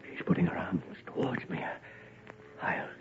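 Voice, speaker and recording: An actor in an old-time radio drama playing an obsessed, lovesick young man who mutters haltingly and breathily. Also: background noise, lightly acted. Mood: feverish longing. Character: obsessed, lovesick young man murmuring over a sleeping girl. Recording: dull, muffled old radio recording.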